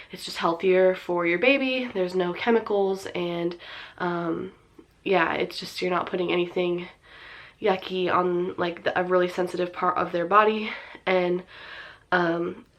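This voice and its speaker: A woman in her twenties, sniffly from a cold, casually talking to her viewers as a vlogger with lots of ums and likes. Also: fairly low pitch. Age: 20s. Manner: chatty, many ums and likes. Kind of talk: casual explainer talk to camera.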